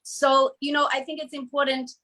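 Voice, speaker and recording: An earnest middle-aged Australian woman talking through her ideas over a video call. Her voice sits a little high and runs in long, flowing sentences. She often drops in a casual "you know.". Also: level tone, normal speed, pushed and bright. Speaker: guest speaker. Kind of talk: reflective interview answers.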